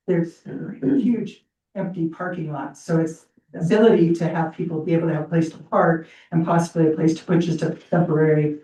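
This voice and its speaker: Woman from the public clarifying a point, emphatic, mellow voice, echoey room. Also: low-pitched, fairly even intonation, a little fast. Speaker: member of the public giving comment. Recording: echoey meeting room, mic far off.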